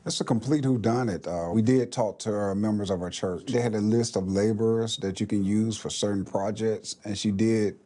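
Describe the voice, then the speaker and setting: A man's voice: lowish voice, fairly even intonation, normal speed, hardly any pauses, warm tone. A middle-aged man, a police investigator, answering interview questions in a plain, matter-of-fact way. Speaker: police lieutenant, homicide investigator.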